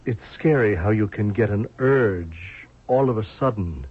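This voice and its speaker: An actor playing an uneasy, evasive man in an old radio drama, speaking haltingly. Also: fairly low pitch, animated, slightly rough. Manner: hesitant, halting. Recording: muffled, narrow-band old radio recording.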